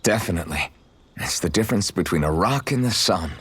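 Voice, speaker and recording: A man playing an earnest young swordsman in a video game, gentle in manner and awkward when flustered. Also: low voice, expressive, fairly quick, slightly rough, dry recording, exaggerated voice. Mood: friendly, teasing. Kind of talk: video game cutscene acting.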